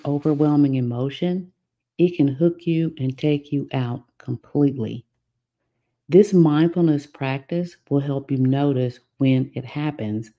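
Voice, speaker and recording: A woman leading a guided meditation from a script, giving soothing, unhurried instructions with long pauses. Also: deep voice, muffled recording.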